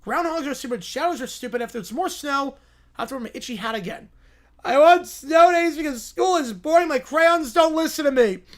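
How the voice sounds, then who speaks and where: Woman acting whiny, bratty high-pitched little kid. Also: lively intonation, fairly quick. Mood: petulant. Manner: whiny, petulant complaining. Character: whiny, bratty, weepy small children.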